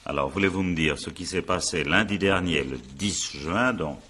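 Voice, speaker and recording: An actor playing a brisk, impatient male magistrate in a French radio drama, with an authoritative, clipped and dryly ironic way of questioning. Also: low voice, pushed and bright, slight background noise.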